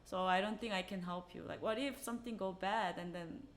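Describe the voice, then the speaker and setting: A woman's voice: medium pitch, steady tone, brisk. A woman from South Korea, a native Korean speaker with a Korean accent, chatting casually as a podcast co-host. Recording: faint background noise.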